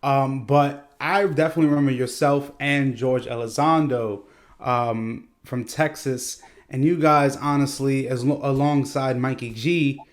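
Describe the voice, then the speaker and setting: Man, interview host, effusive, rambling questions, average pitch, video call. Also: steady tone, measured pace. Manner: effusive, long winding questions.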